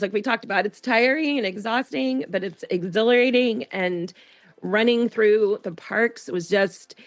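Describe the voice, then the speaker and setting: A woman guest in a casual podcast interview, speaking at a middle pitch. She is enthusiastic and tends to repeat and restart phrases. Faint music plays behind her. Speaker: podcast guest, school teacher.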